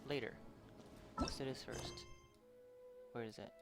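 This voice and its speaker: A streamer chats casually through a game playthrough. The remarks are short and offhand. Loud game music sits behind the voice. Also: medium pitch, steady tone, measured pace, creaky. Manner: brief offhand reactions.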